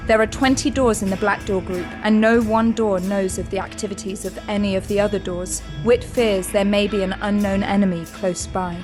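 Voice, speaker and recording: A woman narrating an audio drama recap in a measured, steady storytelling voice. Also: mid-range voice. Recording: music under the narration.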